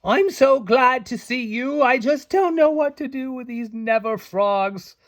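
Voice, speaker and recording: A man's voice: very high-pitched, lively intonation, unhurried, dry recording, voice pushed up, exaggerated voice. A man voicing an overwhelmed, gushing fairy who tends animals, in a storybook read aloud.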